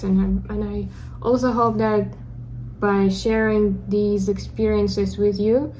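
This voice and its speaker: A young woman with a Russian accent speaks in a soft, mellow voice, sincere and slightly self-conscious as she talks to her camera. A faint hiss sits under her voice. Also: medium pitch, poor audio. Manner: self-conscious, earnest, a little awkward. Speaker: vlogger. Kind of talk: casual talk to camera. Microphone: camera mic.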